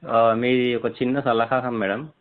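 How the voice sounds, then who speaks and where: A man's voice: medium pitch, little pitch movement, measured pace. A man, a reporter speaking deferentially in a phone interview. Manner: deferential, repeatedly saying madam. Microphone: phone line. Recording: noisy, tinny phone call recording.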